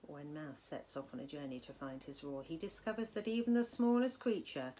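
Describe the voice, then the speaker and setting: A woman reading a children's storybook expressively, like a primary school teacher, with dramatic, drawn-out emphasis. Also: deep voice, mellow, a little hiss or hum.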